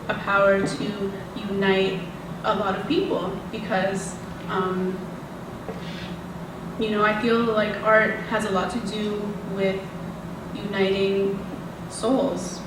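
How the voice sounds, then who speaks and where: A woman artist speaking earnestly and reflectively on a panel. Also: mid-range voice. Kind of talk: panel discussion answer. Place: large assembly hall. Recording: echoey hall from far off, background noise.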